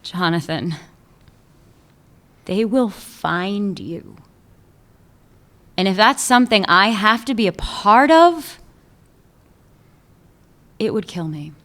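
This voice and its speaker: A woman voicing a shrewd, tenacious female police chief in a radio play, speaking in a cool, measured way. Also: mid-range voice, expressive, a bit bright. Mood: tender.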